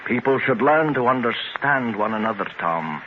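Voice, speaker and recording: An actor playing a determined, idealistic older Scottish industrialist in 1930s radio drama style, speaking with plain, emphatic conviction. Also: male voice, medium pitch, animated, moderate pace, close to an everyday voice. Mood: earnest, idealistic. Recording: muffled, noisy 1930s radio transcription.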